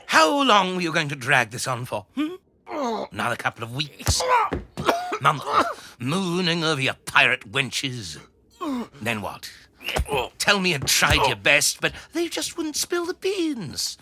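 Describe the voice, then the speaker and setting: Male, cruel bullying villain for audio drama; very bright, forceful delivery, hugely animated pitch. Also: a little slow, a little hiss or hum, full character voice. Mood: scornful. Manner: sneering, commanding, theatrical. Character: cruel, lecherous treasure-hungry villain, sneering bully in command.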